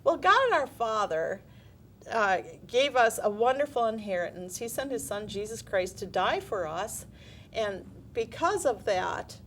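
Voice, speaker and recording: Soft-spoken woman hosting a faith talk show. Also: on the high side, sing-song, unhurried, slight background noise. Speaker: show host.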